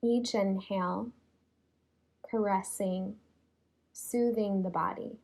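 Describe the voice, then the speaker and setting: A woman leading a guided yoga relaxation in a soothing, unhurried way, leaving long pauses between phrases. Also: medium pitch, steady tone, darkish tone, rough recording. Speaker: yoga nidra teacher.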